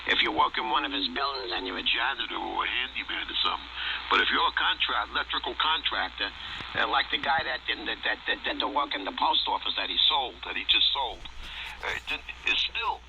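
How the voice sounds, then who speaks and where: A man's voice: medium pitch, lively intonation, brisk, creaky. A man chatting casually and at a rambling gait over a shortwave radio transmission. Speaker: amateur radio operator. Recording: muffled, noisy shortwave radio reception.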